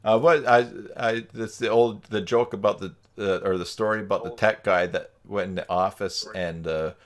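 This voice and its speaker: A man's voice: fairly low pitch, fairly even intonation, moderate pace. A man hosting an informal live group video chat, talking in a casual, rambling way. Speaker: live stream host.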